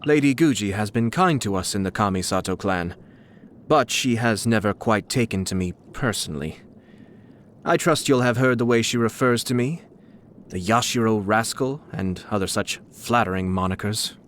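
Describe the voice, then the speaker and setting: A man voicing a refined, suave young nobleman and clan head in a video game, coolly shrewd, with polished, measured, formal diction. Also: fairly low pitch, slight background noise, close to an everyday voice. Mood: courteous, wry. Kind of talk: scripted video game character dialogue. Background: background music.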